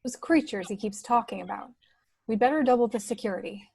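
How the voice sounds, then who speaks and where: A woman's voice: on the high side, fairly quick, gravelly, darkish tone, slightly rough, dry recording. A woman playing a concerned, commanding young rebel leader in an amateur table read.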